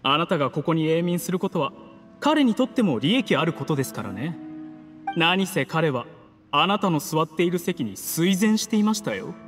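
男性の声優がゲームで演じる、礼儀正しく落ち着いた口調で脅す冷酷な策士の役人。. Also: male voice, on the high side, very animated, fairly bright tone. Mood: smug, calm. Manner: courteous, measured, menacing formal speech. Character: coolly ruthless scheming male official, polite betrayer. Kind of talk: dramatic game cutscene acting in Japanese anime manner. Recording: long reverb, music underneath.

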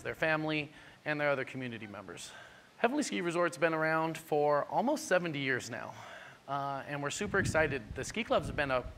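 A man's voice: medium pitch, a bit bright, slight background noise. A man in his forties, a ski resort manager, giving earnest, upbeat thank-you remarks to camera outdoors on a beach.

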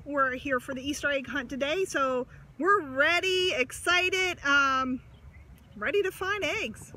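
A woman's voice: high voice, measured pace, bright, forceful tone. A woman in her forties vlogging to a phone at arm's length on a sunny farm, talking in a casual, upbeat way. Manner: chatty, upbeat, encouraging to her kids. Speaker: family vlogger. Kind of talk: casual vlog talk to camera. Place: outdoors on a busy farm in sunshine. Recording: outdoor crowd and noise behind.

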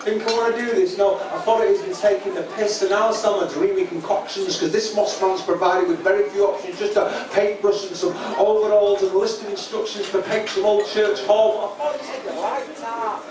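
A man's voice: sits high, fairly even intonation, brisk, few pauses, a crowd in the background. A man in his forties with a Mancunian accent performs spoken-word poetry live on stage with a rhythmic, impassioned delivery. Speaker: performance poet. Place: small dark jazz club stage. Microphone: handheld vocal mic on a stand, often off it. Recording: echoey live club PA, distant, audience noise. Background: audience.

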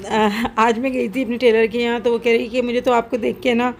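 A woman's voice: on the high side, flat delivery, brisk, gravelly, a crowd in the background. A middle-aged woman, chatting casually as she cooks in her home kitchen and explains each step with lots of fillers. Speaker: cooking vlogger. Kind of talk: casual cooking narration. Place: home kitchen, then rooftop.

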